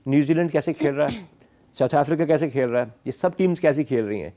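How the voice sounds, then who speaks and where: Mellow-voiced Pakistani male cricket analyst. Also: on the high side, fairly quick. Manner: emphatic, explanatory, switching often between Urdu and English. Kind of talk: sports talk show analysis.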